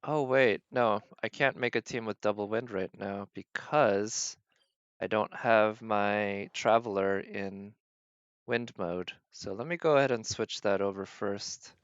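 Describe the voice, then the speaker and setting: A man's voice: on the low side, unhurried, a bit bright, smooth, dry recording, lo-fi sound. A man doing casual live gameplay commentary as a streamer, thinking aloud as he narrates his own decisions. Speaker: game streamer.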